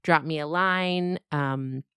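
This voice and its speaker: A woman's voice: sits low, slow, fairly bright tone, clean tone, dry recording, studio quality. A woman podcast creator chatting casually and informally, as if to friends. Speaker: podcast creator and host, being interviewed. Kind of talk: casual chat.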